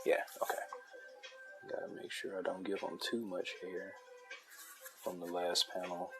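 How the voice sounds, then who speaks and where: Male streamer-artist, very low soothing voice, relaxed rambling chat. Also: unhurried, over music. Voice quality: deep, soothing voice. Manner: laid-back, loose and chatty, with laughs and restarts. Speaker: artist drawing a comic on a livestream. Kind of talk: casual rambling chat while drawing.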